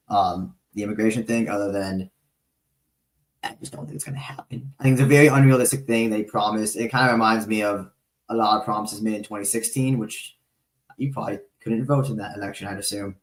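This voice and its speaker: Chatty lower-voiced man in an echoey room. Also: fairly quick. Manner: relaxed, chatty, rambling with fillers and praise. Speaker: podcast host, interviewer. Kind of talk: casual interview chat. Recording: roomy echo.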